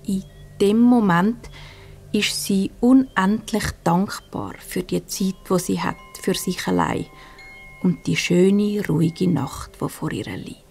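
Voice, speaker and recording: A woman with a Swiss German accent narrating a children's bedtime story softly and soothingly, breathy and hushed, with gentle pauses. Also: medium pitch, faint music behind. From Switzerland.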